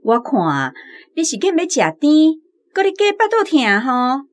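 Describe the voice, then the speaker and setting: A scolding village mother in a radio drama, high-voiced and very lively in pitch. She questions briskly and with exasperation, in a Taiwanese accent. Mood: suspicious scolding. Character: suspicious, scolding countryside mother of a small boy.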